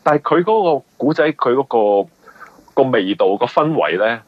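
A man with a Hong Kong Cantonese accent, a novelist explaining his work in a conversational interview, often halting and repeating words. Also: mid-range voice, big pitch swings, breathy edge, dry recording, lo-fi sound. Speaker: novelist guest.

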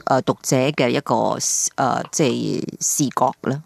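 A man's voice: medium pitch, unhurried, gravelly, no room sound. A man interviewing a guest casually, with short questions and brief responses. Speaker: radio interviewer.

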